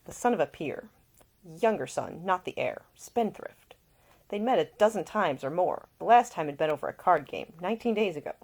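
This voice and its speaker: Low-pitched woman reading to listeners. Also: lively intonation, normal speed, darkish tone. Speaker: author reading own book. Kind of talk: informal introduction, then expressive audiobook narration.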